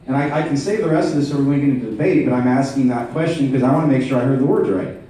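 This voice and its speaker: Echoey, mellow-voiced man questioning insistently. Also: mid-range voice, fast talker. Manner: precise, insistent, methodical questioning. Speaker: delegate questioning the chair at a meeting. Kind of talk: formal procedural debate. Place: large indoor meeting room. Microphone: floor microphone. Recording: roomy echoing hall, distant mic.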